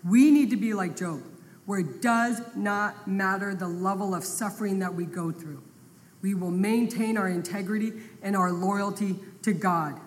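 Emphatic woman teaching scripture in reverb. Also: mid-range voice. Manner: emphatic, builds to forceful peaks. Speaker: women's Bible study teacher. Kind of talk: sermon. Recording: long reverb, faint background noise.

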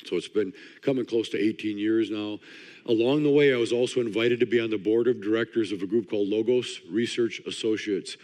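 A hoarse older man from Wisconsin preaching fast, with a dry, joking delivery. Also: fairly low pitch, steady tone, a little mellow. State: hoarse, partly lost voice. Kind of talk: fast-paced sermon talk. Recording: long hall reverb.

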